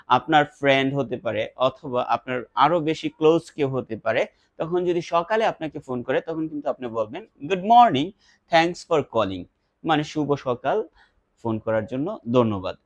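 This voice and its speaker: A man with a Bangladeshi accent speaks as an English teacher, in a dry recording with very little room sound. He is instructive, giving example phrases with slow emphasis and then explaining them. His pitch is average for a man. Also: lively intonation, no room sound. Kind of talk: spoken English lesson with example phrases and translations.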